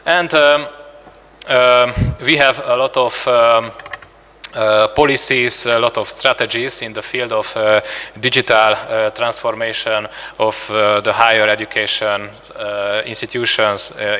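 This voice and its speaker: Hungarian-accented male conference presenter, hesitant and full of fillers, bright voice, long hall echo. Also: medium pitch, steady tone, clean tone. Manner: halting, many filler sounds and restarts. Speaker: conference speaker.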